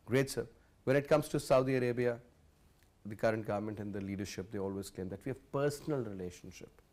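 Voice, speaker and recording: A man's voice: on the low side, quick pace, a little mellow. A man hosting a TV panel discussion, interjecting and politely addressing his guests as sir. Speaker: talk show host.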